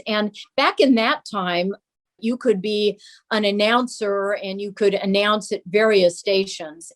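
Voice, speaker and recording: An older woman reminiscing conversationally as an interview guest, telling anecdotes in a measured way. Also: mid-range voice. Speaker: interview guest recalling a parent's radio career.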